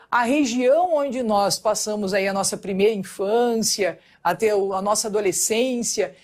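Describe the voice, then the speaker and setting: A woman's voice: medium pitch, darkish tone. A woman teacher with a São Paulo state accent and a strong rolled R, explaining a lesson in a recorded class and often checking in with the students.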